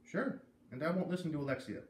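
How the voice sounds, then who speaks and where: A man's voice: fairly high pitch, animated, fast talker, a little hiss or hum, voice pushed up, natural voice. A man voicing an earnest, kind young schoolgirl, new in class, with a sincere, questioning way of talking in a storybook read-aloud. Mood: kind, reassuring. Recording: roomy echo, distant microphone.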